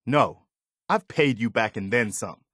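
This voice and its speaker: A man's voice: mid-range voice, lively intonation, a little slow, fairly bright tone, no room sound, clean studio sound. A male actor voicing an earnest, weary, loyal militia soldier in a video game, speaking in a plain-spoken, folksy way. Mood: disappointed, stern. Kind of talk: dramatic video game dialogue.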